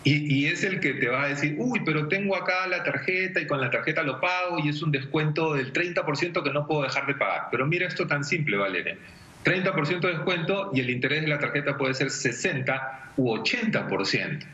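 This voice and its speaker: Lively Peruvian man explaining over video call. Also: a little high, brisk. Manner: didactic, illustrates with everyday examples. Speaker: personal finance expert on a TV segment. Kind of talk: conversational explanatory advice. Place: indoor room at home. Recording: dull, echoey video call.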